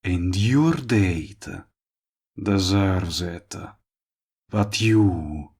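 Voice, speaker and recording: A man playing a smug but tender villain in love, speaking intimately to the listener in a romantic audio roleplay, with a low, husky voice. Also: animated, darkish tone, full character voice. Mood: smug, protective. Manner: slow, murmuring, teasing. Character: lovestruck male villain, smug yet tender, persistent suitor. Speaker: voice actor.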